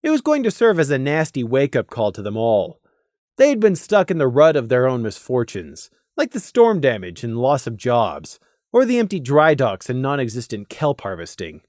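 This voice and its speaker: A man's voice: medium pitch, wildly swooping pitch, moderate pace, a little mellow, no echo, clean studio sound. A man narrating a scripted fantasy story dramatically, as an audiobook narrator.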